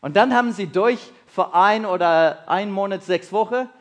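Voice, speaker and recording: A man from South Africa whose first language is English, with a South African accent, preaching a sermon in halting, non-native German and putting questions to his listeners. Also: high voice. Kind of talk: sermon to a congregation.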